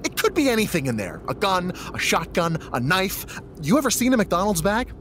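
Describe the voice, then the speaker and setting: An actor playing a loyal, excitable cop sidekick in a comedic audio drama, rambling earnestly in slangy language. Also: male voice, high-pitched, normal speed, a little hiss or hum. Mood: earnestly defensive.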